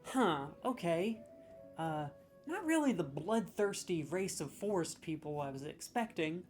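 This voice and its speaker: A man's voice: fairly high pitch, very animated, unhurried, soft background music. A man hosting a comedic video, ranting sarcastically with mock outrage as he scores game voice lines.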